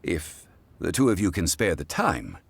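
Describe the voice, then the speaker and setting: An actor playing a calm, dignified ancient god living as a mortal in a game, speaking in a measured, formal and reflective manner. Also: male voice, low voice, creaky, breathy edge, full character voice. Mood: calm, amused. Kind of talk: scripted game cutscene voice acting. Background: soft game music.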